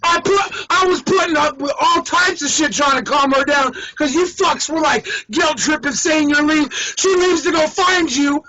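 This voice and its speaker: A man's voice: very high-pitched, moderate pace, few pauses, sharp tone. An agitated man in a heated, profanity-filled rant, recorded with lo-fi sound. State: worked up, agitated. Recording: dull lo-fi video sound.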